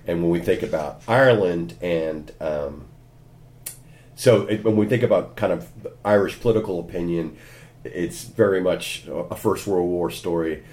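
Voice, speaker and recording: Man, Californian American accent, very deep voice, hesitant conversational answers, faint background noise. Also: dark tone. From California, USA. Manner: frequent ums and restarts, lightly wry. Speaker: history lecturer, guest. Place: university office. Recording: imperfect office recording.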